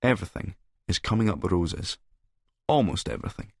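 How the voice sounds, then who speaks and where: A Scottish man reads a first-person story with a sardonic edge. His voice sits fairly low and is recorded close and dry. The delivery is dramatic and sneering. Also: lively intonation, moderate pace, vocal fry, no echo. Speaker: audiobook narrator and actor.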